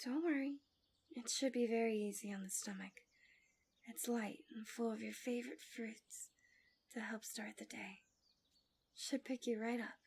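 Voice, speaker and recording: A woman voicing a gentle, coaxing, subtly manipulative fantasy villain in an intimate ASMR roleplay, soft and breathy, often near a whisper, close to the mic. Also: on the high side, fairly even intonation, measured pace, long pauses. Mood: tender, doting. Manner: soothing, doting, teasing.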